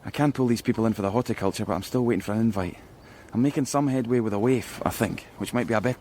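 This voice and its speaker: A man's voice: lowish voice, fast, warm tone, a little hiss or hum, close to an everyday voice. An actor playing a plain-spoken male police officer in the field in a radio drama. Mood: businesslike.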